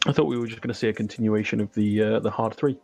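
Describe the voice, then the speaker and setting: A man's voice: lowish voice, fairly even intonation, very fast, creaky, a little mellow, dry recording. A man co-hosting live commentary on a tabletop miniatures game, casual and dryly teasing in manner. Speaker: stream co-host and commentator. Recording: close dry mic with faint music.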